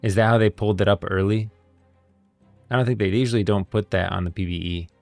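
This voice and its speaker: Man, very low pitch, casual slangy streamer chat, thinking aloud, dry sound, faint music behind. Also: steady tone, quick pace, gravelly, darkish tone, no echo. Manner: informal slangy talk addressing viewers as guys and bros. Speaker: livestreamer and host.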